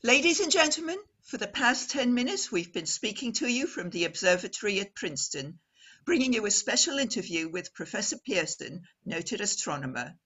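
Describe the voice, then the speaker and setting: A woman plays an earnest female radio reporter with a bright, projected voice that swings widely in pitch. She is poised and formal, stressing her words deliberately in the style of an old-time radio drama. The sound is slightly dull and lo-fi. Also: very animated, slightly rough. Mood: formal, urgent.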